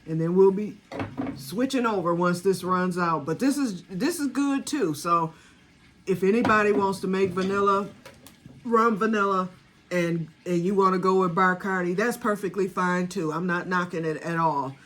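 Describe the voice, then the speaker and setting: A woman's voice: on the low side, moderate pace, a bit bright, slight background noise. Chatty woman in her sixties. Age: 60s. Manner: chatty, rambling, talks straight to viewers. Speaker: home vanilla maker. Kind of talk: casual chat to camera.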